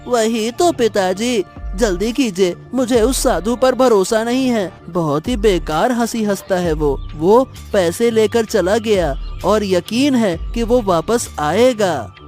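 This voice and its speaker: A woman plays a worried young cartoon boy from a village. The voice is earnest and pleading, medium-high like a child's, slowly paced, with soft music behind. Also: lightly acted. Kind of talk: animated moral story dialogue.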